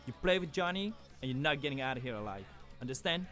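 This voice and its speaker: A tough henchman from a video game. His medium-pitched voice is curt and intimidating, a gatekeeper giving warnings. Faint music sits underneath. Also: animated, quick pace, pushed and bright. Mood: threatening. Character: tough, threatening male henchman guarding his boss. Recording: music behind the voice.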